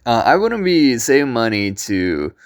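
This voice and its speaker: A man's voice: mid-range voice, big pitch swings, unhurried. A young man from Brazil chatting casually about his teaching days, full of fillers, restarts and light laughs. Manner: rambling, many fillers and restarts. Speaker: vlogger and online teacher. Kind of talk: casual vlog monologue.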